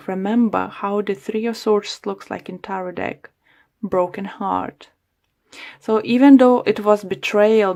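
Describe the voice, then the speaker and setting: Slow, gravelly-voiced woman tarot reader. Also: medium pitch, darkish tone. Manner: rambling, loose phrasing, many fillers. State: losing her voice. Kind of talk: solo tarot reading to camera.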